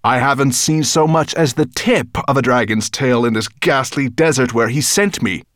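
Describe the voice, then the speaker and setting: A man's voice: medium pitch, normal speed, a bit bright, dry recording, studio quality, voice pushed up, close to an everyday voice. A man voicing a huge, bad-tempered monster, imperious and menacing, with a snarling growl. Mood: irritated, sarcastic. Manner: imperious, sarcastic, explosive. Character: huge, tyrannical male dragon-like monster, furious, menacing, contemptuous.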